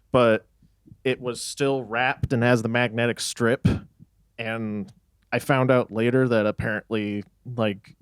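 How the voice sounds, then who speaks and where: Young texas guy in a band interview, smooth clear voice, dry close sound, kinda rambly. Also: medium pitch, slow, no echo. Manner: relaxed, rambling, laughs often. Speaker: band member. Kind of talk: casual interview chat.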